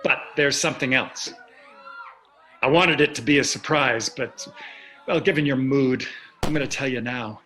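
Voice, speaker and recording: A man's voice: mid-range voice, moderate pace, long pauses, pushed and bright, slight background noise, lightly acted. A man acting a wealthy, breezy, self-satisfied husband in a play reading, with a chatty delivery. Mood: pleased. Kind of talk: staged play reading over video call.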